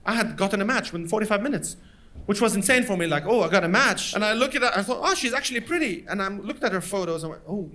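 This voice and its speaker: A man from Lebanon with a Lebanese accent, doing animated stand-up storytelling on stage and acting out the people in his story. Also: high-pitched, noisy background. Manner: animated, acts out voices of others in anecdotes. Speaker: stand-up comedian. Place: stage before a live audience.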